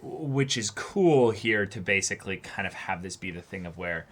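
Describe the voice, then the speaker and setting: A man's voice: fairly low pitch, animated, moderate pace, fairly bright tone. A man chatting casually and unscripted as co-host of an anime reaction discussion. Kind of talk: casual chat, unscripted commentary.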